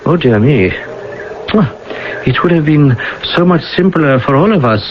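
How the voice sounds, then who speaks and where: A fussy, slippery professor of the radio-play kind, acted by a man, with a fairly high voice that swings about expressively. His speech is formal and flustered, heard through a dull, hissy old broadcast. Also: lively intonation, normal speed, close to an everyday voice. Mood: flustered, evasive. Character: fussy, evasive male professor, a resurrection showman. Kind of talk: 1980s radio drama acting.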